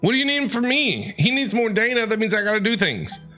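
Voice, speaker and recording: A man giving casual playthrough commentary in a forward, bright and fairly high voice. His pitch moves around a lot as he reacts jokingly to the game over soft music. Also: big pitch swings, a little fast. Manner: jokey, reacts aloud to the game. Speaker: gaming streamer.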